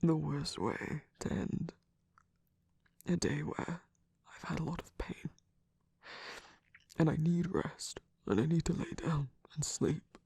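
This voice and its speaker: A performer voicing a proud, wounded villain who is secretly vulnerable, in a hesitant, breathy ASMR romance roleplay with frequent whispering. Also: medium pitch, mellow, no echo, studio quality, heavily acted. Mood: weary, vulnerable. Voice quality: breathy, husky, often whispering. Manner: hesitant, halting, sighing.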